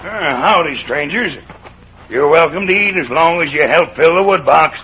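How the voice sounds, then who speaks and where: An actor playing a gruff, terse, suspicious Western man with a husky, folksy drawl in a radio drama. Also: a little high, expressive, moderate pace, slight background noise. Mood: curt, gruff. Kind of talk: old-time radio Western acting. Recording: muffled old radio broadcast.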